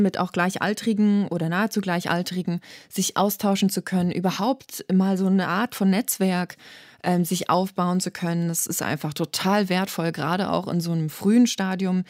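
A woman's voice: fairly low pitch, darkish tone, dry recording, studio quality. A young woman from eastern Germany, a musician answering interview questions in an earnest, thoughtful way, often restarting her phrases. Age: young adult. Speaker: guest musician.

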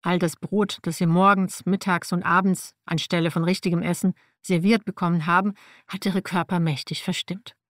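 A woman's voice: fairly low pitch, flat delivery, moderate pace, no room sound, clean studio sound. A woman whose first language is Slavic reads a personal nonfiction text aloud in a wry, matter-of-fact way. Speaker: narrator.

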